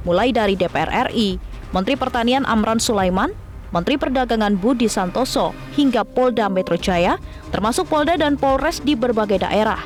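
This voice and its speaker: Higher-pitched woman narrating over faint music. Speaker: news documentary narrator. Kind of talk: scripted narration. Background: faint music bed.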